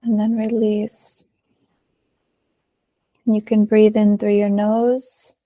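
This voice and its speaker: A woman leading a guided meditation in a soothing manner, pausing often, with soft phrase endings. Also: mid-range voice, flat delivery, dry recording. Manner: slow, soothing delivery with long pauses.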